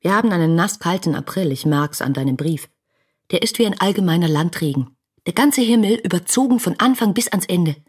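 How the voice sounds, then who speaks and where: Husky, passionate young woman. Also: lowish voice, lively intonation, fairly quick, a little mellow. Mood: reproachful, tender. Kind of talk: radio drama reading of letters.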